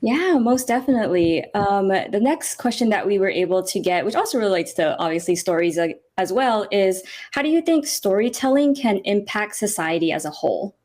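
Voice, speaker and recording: A woman's voice: medium pitch, animated, moderate pace, hardly any pauses, a little mellow. A woman hosting a casual panel interview in an affirming, informal way with frequent filler phrases. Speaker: host, moderator.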